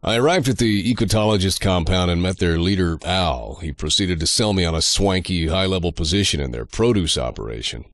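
Low-voiced, deadpan sardonic drifter. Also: male voice, brisk, hardly any pauses, no echo, clean studio sound. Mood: dry sarcasm. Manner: deadpan, sarcastic. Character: sardonic, foul-mouthed male drifter antihero narrating his misadventures. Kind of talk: scripted game narration.

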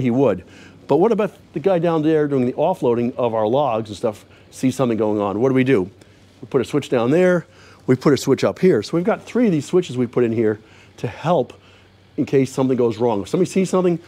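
A man's voice: mid-range voice, dark tone. An older man hosting, explaining his sawmill repairs in a folksy, plainspoken way with wry asides, recorded outdoors on a lapel mic. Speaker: host showing his restored sawmill. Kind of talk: casual show-and-tell explanation. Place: outdoors under an open sawmill shed.

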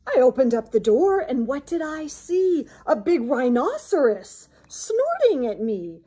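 A woman, reading a picture book to children in a dramatic, expressive way. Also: high voice, unhurried, slightly rough. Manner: dramatic, theatrical. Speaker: volunteer storyteller. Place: small indoor room with bookshelves.

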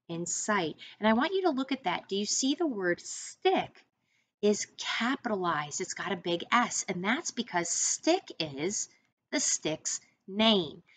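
A middle-aged American woman reads a picture book aloud for first graders. Her pitch swings widely and her pace is slow, and she speaks straight to the children as she explains words. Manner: expressive, deliberate, instructing listeners directly. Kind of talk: picture book read-aloud for young children with teaching asides. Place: small room indoors, seated at a desk.

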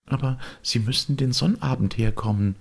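A man voicing an eager, bossy young princess in a fairy tale, high-pitched and instructing. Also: normal speed, creaky, slightly rough.

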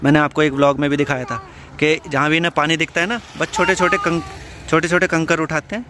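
Man, thirties, slightly high voice, chatty travel vlog, phone at arm's length, outdoors, traffic noise. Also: steady tone, fast talker. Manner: chatty, joking, addresses viewers as friends. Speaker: vlogger. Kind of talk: casual travel vlog to camera. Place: outdoors by a river and on a bridge in hills. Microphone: phone held at arm's length.

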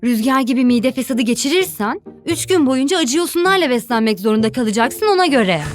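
A woman voicing a sassy, food-loving young sea creature, teasing and expressive, in a children's cartoon. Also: sits high, fairly quick. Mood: teasing warning. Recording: music bed under the voice.

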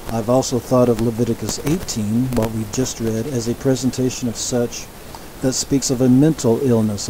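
Male Bible teacher, mid-pitched, measured and explanatory, recorded with a constant hiss behind him. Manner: measured, explanatory, with frequent restarts and asides. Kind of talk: sermon-style Bible lecture.

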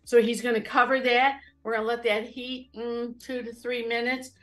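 A woman's voice: on the high side, level tone, moderate pace, fairly bright tone, soft background music. A woman in her fifties hosting a live cooking show from her home kitchen, chatting casually and explaining each step as she goes.

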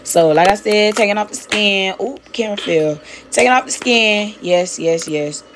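A woman's voice: medium pitch, normal speed, background noise. A young woman from Miami chatting casually and slangily while she prepares fish in her home kitchen. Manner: chatty, slangy, repeats words, talks while cooking. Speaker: cooking vlogger. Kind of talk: casual cooking vlog chat.

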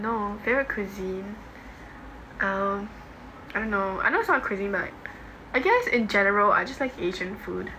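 A woman's voice: mid-range voice, pushed and bright. A young adult woman with a Singaporean accent chatting casually on a livestream, reading and answering comments in an informal, offhand way. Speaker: livestream host. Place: home bedroom, indoors. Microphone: phone at arm's length. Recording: noisy phone recording in a room.

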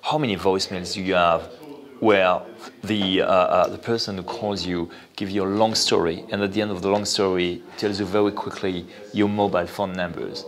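A man with a French accent giving explanatory interview answers, halting as he thinks aloud and often saying "you know". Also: low-pitched, fairly even intonation. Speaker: interview guest, venture capital investor. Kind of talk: spoken interview, explanatory talk.

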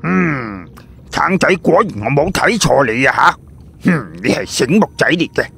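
A man voicing a brash, teasing, jolly adventurer sidekick in an audiobook, with a raspy voice and colloquial, exclamatory banter. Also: on the high side, animated, measured pace, fairly bright tone, a little hiss or hum, pitched above the performer's own voice, full character voice. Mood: pleased, knowing. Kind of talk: audiobook character acting.

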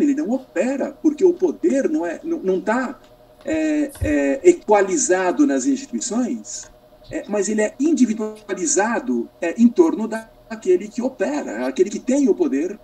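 A middle-aged Brazilian man speaking as an academic in an online discussion, halting and repeating himself as he searches for words. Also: high voice, dark tone, slightly rough. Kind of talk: extended philosophical question in online panel. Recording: distant mic, slightly noisy video call.

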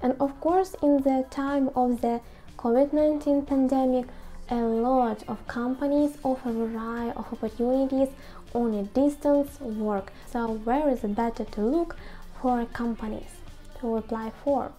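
A woman's voice: on the high side, steady tone, normal speed, warm tone, faint music behind, rough recording. A woman in her twenties hosting a video, giving scripted advice to camera in non-native English phrasing. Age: 20s. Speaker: YouTube host. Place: small quiet room at home.

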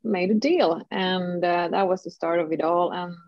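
A woman's voice: lowish voice, normal speed, dry recording. Finland Swedish woman on a video call. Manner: chatty, many fillers, frequent laughs. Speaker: singer, guest. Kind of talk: casual video-call interview. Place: home room, indoors. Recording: dull lo-fi video call audio.

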